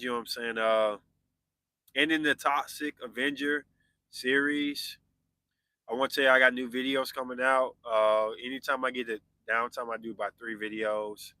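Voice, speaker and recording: Bright-voiced man in his forties, chatting. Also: mid-range voice, dry recording, low-quality recording. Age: 40s. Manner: loose and conversational, answering viewer comments. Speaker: podcast host. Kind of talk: casual live-stream chat with viewers.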